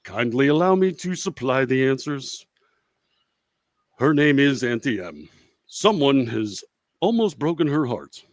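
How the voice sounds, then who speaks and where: A mid-pitched, very animated roguish travelling fortune teller, acted by a man for a comedic radio play. He bumbles through his patter with hesitations and wry asides, recorded close and dry. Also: measured pace, long pauses, no room sound. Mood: solemn, wry.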